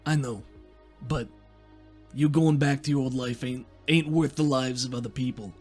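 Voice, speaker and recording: A man voicing a gruff, short, mechanical inquisitor officer with blunt, folksy tough-guy talk in a tabletop roleplaying game. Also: mid-range voice, steady tone, moderate pace, darkish tone, soft background music, natural voice. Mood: stern.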